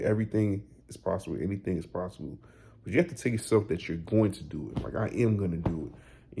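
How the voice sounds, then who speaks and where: A man in his thirties talks straight to his viewers in a casual motivational vlog. His voice sits low and mellow. His speech is loose and slangy, and he keeps repeating himself with tags like "you know" and "right". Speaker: weight loss vlogger.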